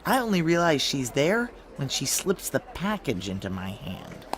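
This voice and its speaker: An actor playing a determined, tense security chief, narrating a noir audio drama in a clipped, urgent, hard-boiled manner. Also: male voice, on the high side, huge pitch swings, moderate pace, a bit bright. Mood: frantic self-reproach. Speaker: narrator and lead character.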